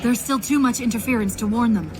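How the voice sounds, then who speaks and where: High-voiced, urgent female AI companion, acted by a woman. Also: noisy background, natural voice. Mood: focused, urgent. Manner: brisk, instructive, urgent. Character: female military AI companion, urgent and sharp-witted. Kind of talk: video game cutscene acting. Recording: loud music and effects behind.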